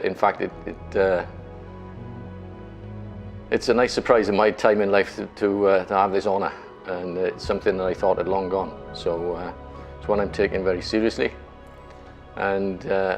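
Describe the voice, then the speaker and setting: An older man, a team captain, giving halting interview answers with many pauses and fillers. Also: low voice, level tone, creaky, soft background music.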